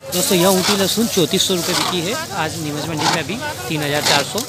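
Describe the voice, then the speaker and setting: A man's voice: a little high, fast. A man reporting produce prices on the spot at a busy outdoor market, repeating each price as he films on a phone. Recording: loud crowd noise at a market. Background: loud crowd and vehicles.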